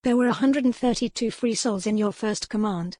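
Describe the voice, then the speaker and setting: A woman's voice: on the high side, brisk, mellow, no echo, clean studio sound, lightly acted. A woman voicing a strong-willed captive noblewoman in an audiobook, formal, pointed and challenging. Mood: solemn, resolute. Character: defiant, sharp-witted captive noble lady, strong-willed. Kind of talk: audiobook character dialogue.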